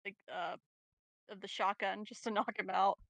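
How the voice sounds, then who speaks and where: A woman's voice: medium pitch, little pitch movement, moderate pace, gravelly, a bit bright, dry recording. A woman chatting casually as a player in a tabletop game. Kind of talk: casual chat.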